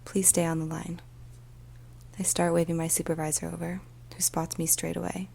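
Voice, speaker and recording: A woman voicing a weary, troubled police emergency dispatcher in a soft, often whispered ASMR storytelling monologue, speaking slowly and deliberately. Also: lowish voice, flat delivery, vocal fry, a little hiss or hum. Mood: calm, reassuring, then hushed, tense. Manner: slow, hushed, deliberate, with pauses. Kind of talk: ASMR storytelling, first-person dramatic monologue.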